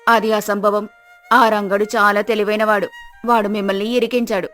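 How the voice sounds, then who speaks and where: A woman's voice: mid-range voice, little pitch movement, moderate pace, fairly bright tone, close to an everyday voice. A woman playing a village headman's sensible wife, reproachful and exasperated with her husband in a moral story. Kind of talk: dramatised moral story dialogue. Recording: music behind the voice.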